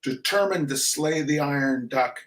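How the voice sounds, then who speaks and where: A man giving a theatrical reading of a humorous text in a mock-solemn, deliberate manner with dramatic pauses. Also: fairly high pitch. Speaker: reader of a comic essay.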